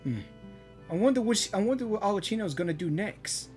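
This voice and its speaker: A woman reacting to a video game, gushing excitedly and talking to herself. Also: medium pitch, fairly quick. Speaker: gaming reaction streamer. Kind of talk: casual reaction commentary. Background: game audio with music.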